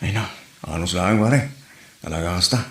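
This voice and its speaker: A man's voice: sits low, fast talker, close to an everyday voice. A man playing an easygoing, consoling village friend in an Ilocano comedy drama, with a Filipino Ilocano accent. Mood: curious, easygoing. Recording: roomy echo.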